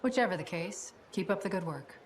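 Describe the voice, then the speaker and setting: A woman playing a calm, authoritative spymaster in a video game cinematic, speaking in a measured way. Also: on the low side, a little hiss or hum, lightly acted. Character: calm, authoritative female spymaster handing out contracts.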